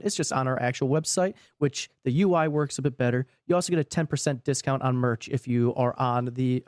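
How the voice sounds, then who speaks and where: A man's voice: medium pitch, a little mellow, dry recording, clean studio sound. A man co-hosting a podcast in a casual, comedic way, playful and given to swearing and mock pleading. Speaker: podcast co-host.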